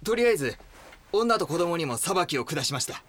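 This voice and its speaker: Ruthless cult lieutenant, audio drama: zealous, commanding, animated intonation, sharp tone. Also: male voice, a little high, brisk. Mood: intense, obedient. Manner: forceful, reverent, commanding.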